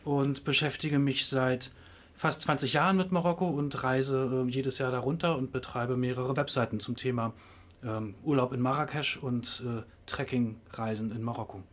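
A man's voice: medium pitch, fairly even intonation, normal speed. A middle-aged man from Greifswald answering interview questions in a radio studio, hesitant with many fillers. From Greifswald, Germany. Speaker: interview guest, travel website operator.

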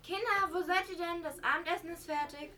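High-voiced shrewd mother played by a female performer. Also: a little fast, pushed and bright, a little hiss or hum. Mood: calling out. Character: mother, shrewd, stern and skeptical. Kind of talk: amateur school radio play acting.

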